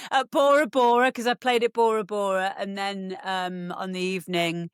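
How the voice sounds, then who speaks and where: A woman's voice: medium pitch, fairly even intonation, moderate pace, clean tone, no room sound, studio quality. A woman, chatting as a podcast guest, rambling with lots of ums and restarts. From England. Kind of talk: casual chat.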